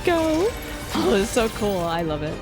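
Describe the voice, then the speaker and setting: A woman's voice: medium pitch, sing-song, unhurried. A young adult woman giving casual, joking gameplay commentary as a streamer, with playful exclamations. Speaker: video game streamer. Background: game music.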